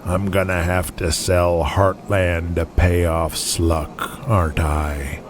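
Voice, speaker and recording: Man acting a low, downcast veteran wrestler. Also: level tone, crowd noise. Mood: despairing. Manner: slow, heavy, downcast. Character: broke, despondent veteran male pro wrestler. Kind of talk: audio drama acting.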